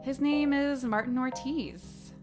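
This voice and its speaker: A woman's voice: high-pitched, takes it slowly, over music. A woman playing a defensive, evasive teenage girl who gives curt answers in a dramatic book reading.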